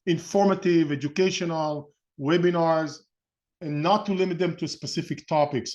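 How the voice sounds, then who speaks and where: A middle-aged man with an Israeli accent hosts an informal online webinar. His voice sits a little high for a man. He talks in a halting, rambling way, often backing up to rephrase what he started. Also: highs cut off. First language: Hebrew. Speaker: webinar host and real estate investment coach.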